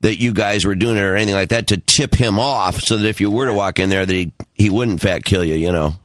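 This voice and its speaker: A man's voice: fairly low pitch, fast talker, a bit bright, no echo. A man co-hosting a casual radio chat, reacting with short exclamations and questions. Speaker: radio host.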